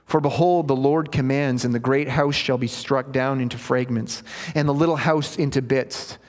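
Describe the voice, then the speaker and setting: A man's voice: mid-range voice, steady tone, normal speed. A male pastor preaching a sermon, reading scripture and then explaining it in an earnest, conversational way. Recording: long hall reverb.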